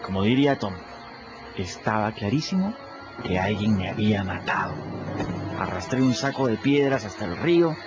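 An actor voicing a plucky, sly runaway boy in a radio drama, telling his story in the first person in a lively way. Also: lowish voice, normal speed, background noise, lightly acted. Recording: dull lo-fi radio recording. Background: clear music bed.

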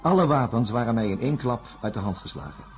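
A male actor plays a conscientious, worried doctor in a science fiction radio drama. His voice sits at a medium pitch for a man, grave and apt to falter, with faint music in the recording. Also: big pitch swings, darkish tone, highs cut off, close to an everyday voice. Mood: fearful, ashamed. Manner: grave, halting when agitated. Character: anxious, conscientious male doctor narrating a disaster.

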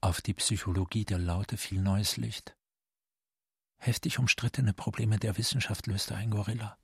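A man narrating a literary radio play gravely, with deliberate dramatic emphasis. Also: fairly low pitch, fairly even intonation, no room sound, studio quality.

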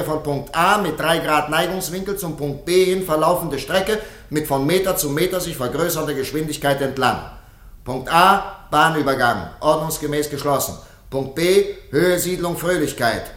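An actor in a German radio play as a formal, officious judge, speaking with bureaucratic precision in an echoing room. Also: male voice, fairly high pitch, fairly bright tone, natural voice. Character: formal, officious male judge presiding over a trial.

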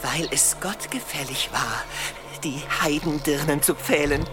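A man playing a fanatical, frightened medieval monk in a radio drama, halting and agitated. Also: a little high, music in the background, close to an everyday voice. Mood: pleading. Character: fearful, fanatical medieval monk, haunted and pleading. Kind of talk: German radio drama acting.

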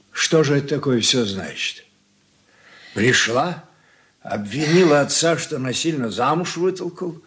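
Wounded, admonishing old patriarch, radio drama; slow delivery, slightly high male voice. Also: fairly bright tone, a little hiss or hum. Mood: indignant. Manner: blunt, admonishing. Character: stern, wounded old father, patriarch of a merchant family. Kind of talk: stage-style radio drama acting.

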